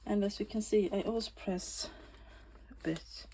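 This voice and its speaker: A woman with a Swedish accent, Swedish native, talking through a bookbinding tutorial in a slow, thoughtful way that often drops to a soft whisper while she works. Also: medium pitch, slight background noise, poor audio. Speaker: bookbinding crafter. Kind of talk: casual craft tutorial.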